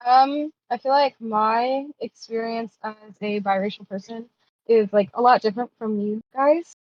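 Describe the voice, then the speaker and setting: A woman's voice: a little high, moderate pace, no room sound. A young woman co-hosting a podcast, talking casually with hesitant starts and a blunt, swearing edge. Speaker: podcast co-host. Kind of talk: casual chat. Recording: dry, dull lo-fi mic.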